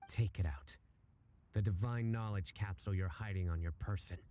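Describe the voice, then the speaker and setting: A man's voice: sits low, moderate pace, dark tone, a little hiss or hum, close to an everyday voice. An actor playing a stern, cold male judge who hunts wrongdoers, in a video game, speaking in a threatening, clipped manner. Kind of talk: video game cutscene voice acting.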